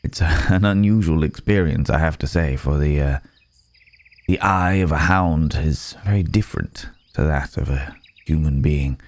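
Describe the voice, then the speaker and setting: A man playing a gentle, apologetic werewolf in an intimate ASMR roleplay, speaking in a hesitant, polite, old-fashioned way with soft chuckles. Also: rumbling low, moderate pace, dry recording. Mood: thoughtful, searching.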